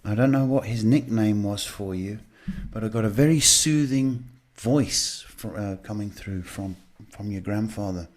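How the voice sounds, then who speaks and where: A man hosting live psychic readings, talking casually and haltingly as he advises people, often checking in with a short questioning word. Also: fairly low pitch, a little mellow. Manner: halting, repeats "okay" as a check. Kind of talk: live advice to callers, casual spiritual talk.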